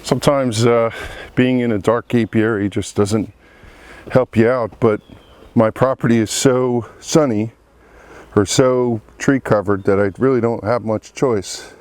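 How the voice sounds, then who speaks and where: A man's voice: medium pitch, fairly even intonation, a little slow, darkish tone, clear, resonant tone. Congested man with a froggy voice. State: congested from a cold. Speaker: beekeeper. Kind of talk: casual hive inspection commentary. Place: outdoor apiary. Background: bees buzzing.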